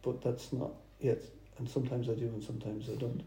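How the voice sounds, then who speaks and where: A man, a church planter, talking over dinner in a thoughtful, halting way. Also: medium pitch, level tone, mellow. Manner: halting, thoughtful, restarts phrases. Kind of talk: casual dinner table chat. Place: dining room. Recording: roomy with faint noise.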